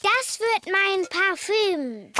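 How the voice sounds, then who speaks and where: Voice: very high voice, big pitch swings, extremely slow, very noisy, exaggerated voice. A performer voicing a cheerful, bossy little girl piglet in a cartoon, eager and childlike, with music behind the voice. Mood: eager, playful.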